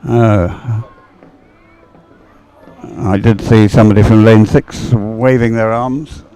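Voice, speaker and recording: A man's voice: on the low side, steady tone, slow pace, warm tone, smooth, rough recording. A man giving unscripted live sports commentary as a race commentator, rambling a little and thinking aloud.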